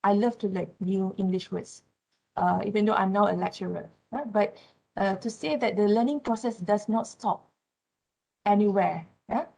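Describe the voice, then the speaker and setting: A woman from Malaysia whose first language is Malay, speaking English with a Malaysian accent as a university lecturer. She gives a classroom lecture, often pausing on "uh" and checking in with "right?" and "yeah?". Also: medium pitch, vocal fry, warm tone, poor audio. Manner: frequent "uh", tag questions "right?" and "yeah?".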